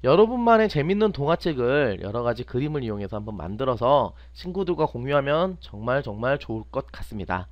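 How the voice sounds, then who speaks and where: A man's voice: mid-range voice, sing-song, fast talker, few pauses, no echo, highs cut off. A man teaching an unscripted step-by-step screen tutorial, often asking questions and answering them himself. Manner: asks and answers own questions. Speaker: teacher, online programming instructor.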